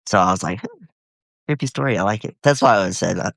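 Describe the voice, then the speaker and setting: A man with a husky voice, nasal from a cold, chatting hesitantly as a guest on a live video from home. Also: fairly low pitch, expressive, a bit bright, no echo, clean studio sound. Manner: halting, hesitant, full of fillers. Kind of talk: casual live-video chat.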